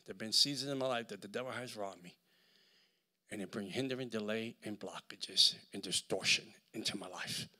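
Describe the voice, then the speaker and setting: Middle-aged man, Puerto Rican accent, fervent preaching, bright forceful tone, handheld mic, church hall. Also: fairly low pitch, a little fast. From Puerto Rico. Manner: fervent, swings from shouting to near-whisper. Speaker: guest preacher. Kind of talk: sermon. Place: large church hall with live audience.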